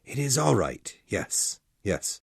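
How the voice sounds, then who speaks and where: Guy doing a pale nervous doctor, thin weak voice, slow and swoopy, dry close sound. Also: on the low side, big pitch swings, creaky, breathy edge, dry recording, exaggerated voice. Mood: agitated. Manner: insistent, anxious pleading. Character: pale shaken middle-aged male doctor, nervous. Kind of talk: audiobook dialogue.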